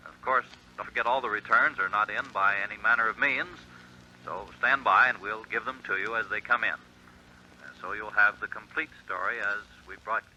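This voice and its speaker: An actor playing a 1920s radio announcer reading bulletins in a brisk, excited way, in a 1940s radio drama. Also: male voice, on the low side, slight background noise. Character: male 1920 radio announcer, hurried. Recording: dull lo-fi 1940s radio recording.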